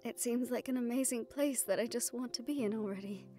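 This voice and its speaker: A woman's voice: a little high, a little fast, faint music behind, natural voice. A woman playing a shy, sweet, self-conscious young nephilim woman in love, speaking hesitantly and tenderly in a romantic audio roleplay. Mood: tender, hopeful. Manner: hesitant, tender, breathy hushed passages.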